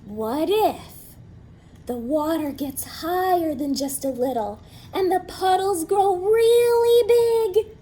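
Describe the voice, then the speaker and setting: A woman voicing an eager, imaginative young girl in a storybook, with bright, excited delivery. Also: extremely high pitch, takes it slowly, pitched above the performer's own voice. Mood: thrilled.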